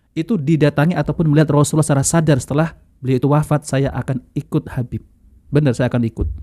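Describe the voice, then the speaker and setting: Indonesian man commenting, mellow and dry. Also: mid-range voice, no echo. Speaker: video host reacting to a lecture. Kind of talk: informal religious commentary to viewers.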